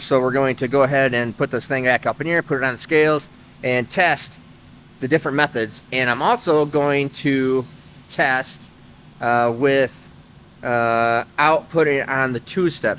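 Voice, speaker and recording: A man talks through his car work in a relaxed, wandering way, full of pauses and fillers. His voice sits in an ordinary middle pitch. A faint engine hum runs under it. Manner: rambling, easygoing, lots of "uh" fillers. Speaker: host of a car-building channel. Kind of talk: casual garage vlog talk. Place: car workshop.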